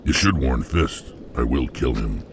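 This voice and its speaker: An actor voicing a hulking alien mercenary warrior in a video game, blunt and threatening, with a deep gravelly growl. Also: male voice, animated, measured pace, slight background noise. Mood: menacing. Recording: game audio with loud score behind.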